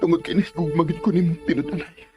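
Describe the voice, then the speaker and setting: A man's voice: on the high side, fairly even intonation, quick pace, close to an everyday voice. A man with a Filipino Cebuano accent acting an ardent, insistent lover in a melodramatic, pleading radio romance drama. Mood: wounded devotion. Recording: music under the dialogue.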